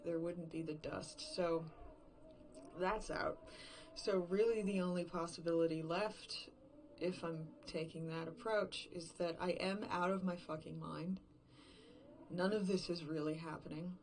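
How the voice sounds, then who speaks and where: Horror podcast lead: actress playing an unraveling woman alone in an empty world, slightly low register, halting and sweary confessional, light background noise. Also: creaky, darkish tone. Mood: agitated reasoning. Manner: rambling, halting, self-questioning, profane. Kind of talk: serial horror fiction podcast monologue, confessional.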